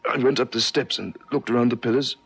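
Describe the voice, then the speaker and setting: Shaken young journalist, husky, hesitant, keeps stumbling over words, radio drama. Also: male voice, mid-range voice. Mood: shaken, halting. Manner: hesitant, stammering, halting. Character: shaken, apologetic young male newspaper reporter. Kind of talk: 1970s radio drama acting.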